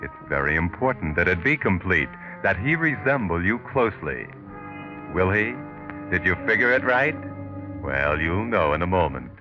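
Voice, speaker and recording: Deep-voiced, insinuating suspense narrator, taunting and ominous; old narrow-band radio with music underneath. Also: male voice, big pitch swings, moderate pace. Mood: ominous, suspenseful. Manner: sardonic, insinuating, second-person storytelling. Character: ominous, taunting male narrator addressing the villain. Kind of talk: 1940s radio mystery narration.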